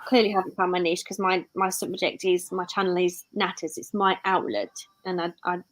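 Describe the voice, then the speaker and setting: A woman's voice: fairly low pitch, moderate pace. A British woman in her thirties hosting a live stream, talking in a rambling way and often correcting herself. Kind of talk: casual live-stream chat.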